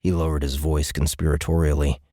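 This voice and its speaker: A man's voice: a bass voice, little pitch movement, fairly quick, mellow, no room sound, clean studio sound. A man reading scripted fiction as an audiobook narrator in a dramatic storytelling style. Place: dry recording studio.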